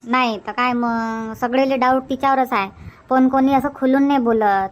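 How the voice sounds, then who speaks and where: Cartoon village auntie played by a woman, high voice, Vidarbha Marathi accent, suspicious gossip with emphatic questioning. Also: fairly even intonation, normal speed, close to an everyday voice. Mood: gossipy, suspicious. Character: gossipy village aunt, suspicious, chatty older relative. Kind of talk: cartoon comedy dubbing.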